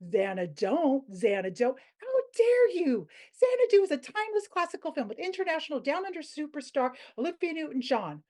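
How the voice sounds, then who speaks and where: Woman as dreamy young woman, theatrical, indignant, high swooping voice, stage play reading. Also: big pitch swings, normal speed, hardly any pauses, dark tone. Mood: indignant, adoring. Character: dreamy, dramatic young woman who adores a musical film.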